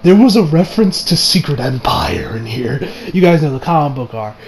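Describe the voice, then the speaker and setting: A young man giving a casual, rambling movie review as an excitable, slangy fan who trails off into asides. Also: a little high, moderate pace, creaky, a crowd in the background. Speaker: video reviewer. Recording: music behind the voice. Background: music.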